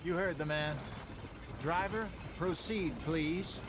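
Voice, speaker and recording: An actor playing a genial, sly older con artist in a western video game, with a polite, theatrical, teasing way of smooth-talking. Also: male voice, on the high side, takes it slowly. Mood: courteous, wheedling. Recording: clear wagon and hoof noise. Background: horse-drawn vehicle, hoofbeats.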